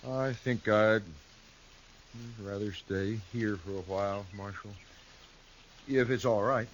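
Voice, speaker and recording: An actor playing a weary, plain-spoken rancher in a 1950s radio drama, quiet and hurt. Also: male voice, lowish voice, steady tone, slow, muffled recording, a little hiss or hum.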